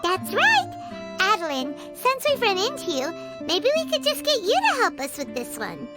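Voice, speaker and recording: A woman voicing a tiny, childlike female fairy companion in a video game, chirpy, excitable and nosy, with a squeaky voice. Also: moderate pace, heavily acted. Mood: eager, chirpy. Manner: exclamatory, eager, bubbly. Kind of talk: video game character acting. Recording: music behind the voice.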